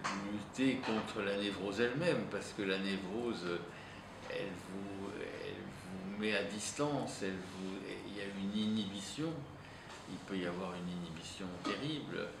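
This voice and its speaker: A man with a low voice, whose first language is Italian, reflects slowly as a psychoanalyst in an interview, pausing often as he thinks aloud. He is heard from a distance in a reverberant room with steady background noise. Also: gravelly. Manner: hesitant, thinking aloud with frequent pauses. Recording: roomy echo, distant mic, noisy.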